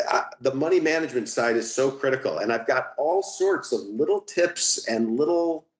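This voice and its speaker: A middle-aged man giving casual, emphatic advice in a conversational interview style, as a podcast guest. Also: mid-range voice. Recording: roomy, echoing sound.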